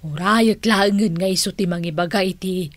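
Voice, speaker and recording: A woman's voice: medium pitch, slight background noise, natural voice. An actress with an Ilocano accent playing an emotive, lovesick woman in a melodramatic radio drama. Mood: wistful longing. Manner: sighing, emotive, slow delivery. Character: lovesick, melodramatic woman longing for a jailed man.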